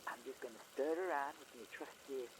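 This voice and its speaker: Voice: mid-range voice, fast, husky. A person casually narrating a cooking demonstration, recorded faintly with frying sounds behind. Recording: distant, noisy audio with sizzling pan. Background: frying and stirring sounds.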